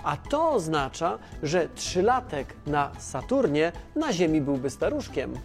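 An easygoing space mission controller in a kids audio story, chatty and a bit hesitant, soft music behind. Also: male voice, fairly high pitch, sing-song, unhurried. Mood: interested explaining. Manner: conversational, hesitant, informal. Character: Tomasz, caring, chatty, easygoing male mission controller on Earth. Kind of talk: children's educational audio drama, casual dialogue.

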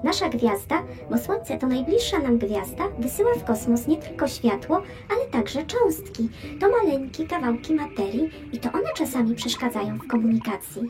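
Lady doing a smart friendly space probe, highish voice, explains stuff simply for kids, music behind. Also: very animated, normal speed, few pauses. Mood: explanatory. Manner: clear, simple explaining for children. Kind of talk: scripted educational narration.

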